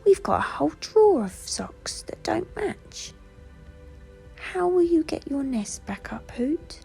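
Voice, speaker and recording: A woman voicing a small, curious young boy teddy bear in a high, breathy storybook voice over music. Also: sing-song, unhurried, a little mellow, whispered, heavily acted. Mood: eager, helpful.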